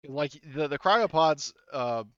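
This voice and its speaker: A man speaking casually as a game master, haltingly explaining and planning the game as he goes. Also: a little high, expressive, a bit bright, clear, resonant tone, no room sound. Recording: slightly dull, dry close mic.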